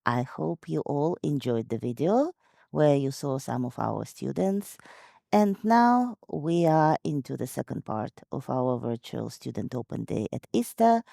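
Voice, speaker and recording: A woman's voice: very deep voice, sing-song, a little slow, vocal fry, mellow, no echo, studio quality. A woman hosting a virtual student open day, upbeat and reading out audience questions on a video call. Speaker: host, moderator.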